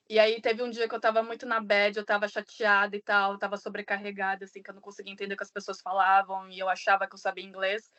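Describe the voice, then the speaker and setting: Casting: Brazilian woman, mid-pitched, casual animated podcast storyteller, slightly muffled lo-fi recording. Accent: Brazilian Portuguese. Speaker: podcast guest living abroad.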